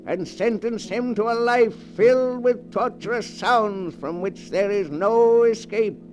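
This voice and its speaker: A man's voice: high-pitched, a little mellow, low-quality recording. A man playing a sinister, gloating keeper of a book of terror tales, hosting in theatrical 1940s radio style. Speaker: horror anthology host.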